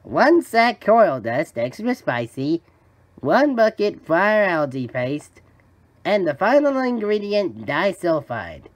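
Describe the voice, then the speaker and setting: Cartoon sponge fry cook, boyish, gullible, eager, hugely swooping pitch. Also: male voice, very animated, takes it slowly, exaggerated voice. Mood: earnest. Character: cheerful, gullible young male sea sponge fry cook. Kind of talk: cartoon fan voice acting.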